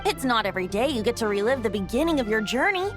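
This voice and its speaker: Genial, lyrical lady for a game, high register, expressive melody, courteous delivery. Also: fast, over music. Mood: reflective. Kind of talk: video game voice acting.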